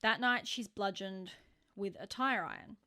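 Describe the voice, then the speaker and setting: A woman's voice: mid-range voice, a little slow, bright, forceful tone. An Australian woman co-hosting a true crime podcast, telling the story in an informal, sweary, conversational way.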